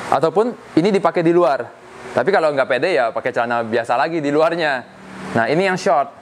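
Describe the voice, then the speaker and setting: A man giving a casual instructional demonstration as a coach, conversational and explanatory, repeating key phrases for emphasis. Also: fairly high pitch. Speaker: cycling coach giving tips. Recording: clear ambient hum and vehicle noise.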